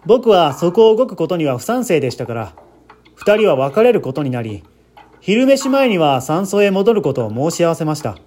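A man's voice: fairly high pitch, big pitch swings, normal speed, a little mellow. A man reading the part of an earnest, anxious man who politely recounts a friend's death in a dramatic radio-story style. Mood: earnest, explaining.

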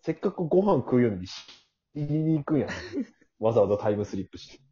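Animated western Japanese man, slightly husky. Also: mid-range voice, quick pace, highs cut off. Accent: western Japanese regional. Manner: teasing, incredulous retorts. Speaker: podcast host. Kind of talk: casual comic banter.

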